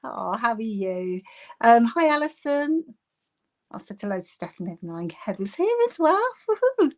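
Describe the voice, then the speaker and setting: A woman's voice: fairly high pitch, big pitch swings, normal speed, darkish tone. A woman with a Bristolian accent hosting a live craft session from home, chatty and giggly, greeting viewers by name. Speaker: craft livestream host. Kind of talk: casual live craft chat. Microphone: phone camera on desk.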